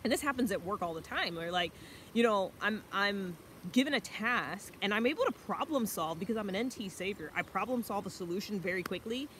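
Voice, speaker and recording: A woman's voice: medium pitch, animated, a little fast, a bit bright. A young woman giving a casual, self-reflective explanation to camera in an informal, conversational way. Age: young adult. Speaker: vlogger. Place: outdoors by a river among trees. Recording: noisy outdoor ambience.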